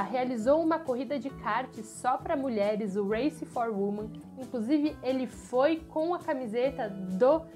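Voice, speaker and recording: A young Brazilian woman speaks in a fairly high voice, presenting motorsport commentary to camera. Her delivery is emphatic and expressive, recorded at home with music playing behind her. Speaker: motorsport channel host. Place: small furnished room at home, indoors.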